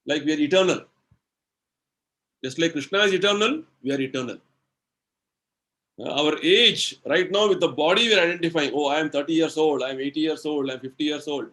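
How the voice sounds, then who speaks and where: A man with an Indian English accent explaining spiritual ideas in a devotional lecture, slow and explanatory, using everyday examples and many "you know"s. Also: on the high side, fairly bright tone. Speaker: spiritual speaker answering questions.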